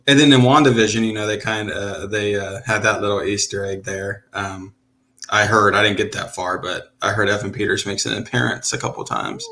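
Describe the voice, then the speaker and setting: A man's voice: on the low side, fairly even intonation, a little fast, creaky, bright, forceful tone. A man in his thirties hosting a podcast, talking in a loose, chatty way with many fillers and restarts. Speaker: podcast host. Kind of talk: casual chat.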